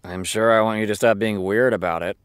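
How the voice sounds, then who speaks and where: A man's voice: fairly low pitch, fairly even intonation, a little fast, clear, resonant tone, lightly acted. An actor playing a needy, self-important male showrunner in a comedic audio drama, theatrical and insistent.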